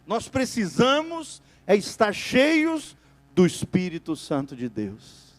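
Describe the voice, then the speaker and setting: A man with a Brazilian Portuguese accent preaching a sermon as pastor, asking his congregation for "amém" and swinging between loud emphatic bursts and quiet stretches. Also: high-pitched, wildly swooping pitch, slow. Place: church.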